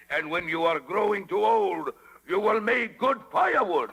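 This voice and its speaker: An actor playing a greedy, bullying puppeteer showman in 1930s radio style, with a booming, gravelly voice, an Italian accent and theatrical broken English. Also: animated, unhurried, heavy background noise, heavily acted. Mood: mocking, menacing. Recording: vintage 1930s radio, reverberant hall.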